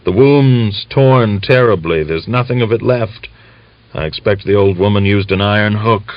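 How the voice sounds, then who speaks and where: A man's voice: lowish voice, normal speed, no room sound, lightly acted. A man reading an audiobook, voicing a weary, blunt country doctor. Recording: dull lo-fi audiobook recording.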